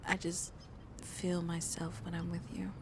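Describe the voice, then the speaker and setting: A woman playing a shy, lonely vampire in an intimate ASMR roleplay, speaking softly and hesitantly, often half whispering. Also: fairly low pitch, completely flat delivery, noisy background. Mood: apologetic, conflicted. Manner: slow, hesitant, halting, soft and breathy. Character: shy, lonely female vampire on a tender first date.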